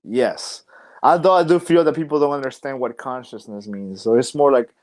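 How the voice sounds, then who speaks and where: A young man who wrestles professionally talks as a podcast guest at a mid-range pitch. He rambles thoughtfully and leans on "like" and "you know" while he works out his ideas. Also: normal speed, a little mellow. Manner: rambling and reflective, many "like" and "you know" fillers. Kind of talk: casual interview chat.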